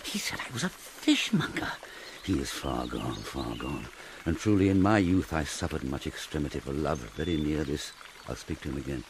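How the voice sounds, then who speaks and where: An actor playing a fussy, scheming old courtier with an obsequious, deferential manner in a Shakespearean radio drama. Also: male voice, very deep voice, big pitch swings, normal speed, background noise. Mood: musing aside. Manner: obsequious, muttering asides.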